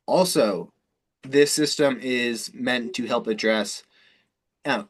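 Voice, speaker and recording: A man's voice: mid-range voice, slow pace. Rambling man in casual talk. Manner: rambling, informal, frequent swearing. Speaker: host of a self-improvement conference call. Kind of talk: casual, unscripted explanation on a call.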